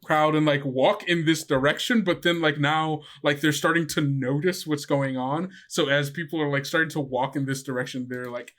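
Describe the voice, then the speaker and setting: A man's voice: on the high side, steady tone, moderate pace, hardly any pauses, fairly bright tone. A young man running a tabletop game as game master, casual with lots of fillers.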